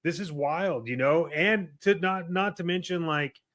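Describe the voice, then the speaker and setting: Bright, forceful American guy chatting casually. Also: a little high, measured pace. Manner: informal, peppered with "like" and "right?". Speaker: podcast co-host. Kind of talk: casual chat.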